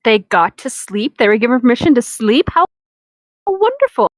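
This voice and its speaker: A woman's voice: a little high, very animated, normal speed, no echo. A woman co-hosting a podcast, reading aloud and commenting casually in a sarcastic, exasperated way with plenty of swearing. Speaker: podcast co-host reading fan fiction aloud.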